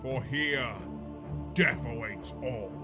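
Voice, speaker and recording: Theatrical male fantasy herald, played by an actor, unhurried, grand archaic delivery, loud music bed. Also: mid-range voice, natural voice. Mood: ominous, grave. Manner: theatrical, dramatic, archaic phrasing. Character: theatrical fantasy storyteller, hearty greeter turned ominous doomsayer. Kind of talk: dramatic fantasy trailer narration.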